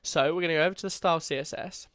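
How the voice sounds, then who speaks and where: A young man with a stuffy, congested voice walks viewers through a beginner coding lesson in a loose, chatty way, often restarting his phrases. His voice sits a little high for a man, and the recording is dry and close with no room sound. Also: rapid-fire, a bit bright, no echo. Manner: casual, chatty, self-correcting. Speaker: coding tutor. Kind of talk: screen-recorded coding tutorial.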